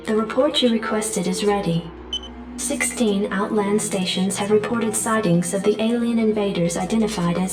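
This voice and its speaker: A woman playing a cool female ship computer, announcing status reports in a flat voice. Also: mid-range voice, natural voice. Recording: echoey, distant voice with music.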